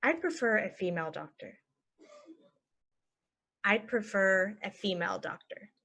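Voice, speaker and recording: Slow, careful woman teaching English phrases. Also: medium pitch, animated, pushed and bright. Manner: repeats each phrase slowly and clearly for learners. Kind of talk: slow phrase-by-phrase language lesson.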